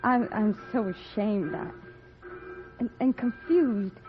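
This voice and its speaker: An American actress playing an earnest young frontier daughter, hesitant and emotional, in an old western radio drama. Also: female voice, on the high side, slow, over music. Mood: shy, ashamed. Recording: muffled old radio recording.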